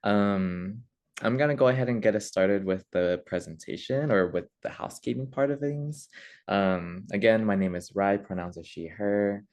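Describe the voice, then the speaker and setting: Upbeat deep-voiced webinar host woman. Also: moderate pace, darkish tone. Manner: upbeat, friendly, many fillers. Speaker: webinar host, community education manager. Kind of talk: hosting an online webinar.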